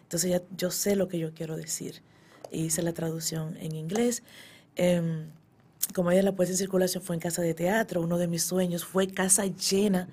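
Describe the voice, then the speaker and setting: A middle-aged woman speaks with a Dominican accent in a fairly low voice. She is a guest being interviewed, recounting her experiences in a casual, conversational way. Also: flat delivery, normal speed, darkish tone, breathy edge. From born in the Dominican Republic, emigrated to the US at ten. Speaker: guest, poet and actress.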